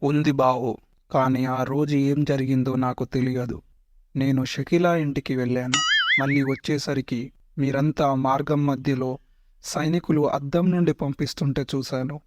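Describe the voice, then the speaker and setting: A man's voice: medium pitch, level tone, normal speed, dry recording, studio quality, close to an everyday voice. A man voicing a loyal, long-suffering old royal servant who laments in mock-tragic parody cartoon style. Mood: resigned, confiding. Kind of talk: Telugu parody cartoon dubbing.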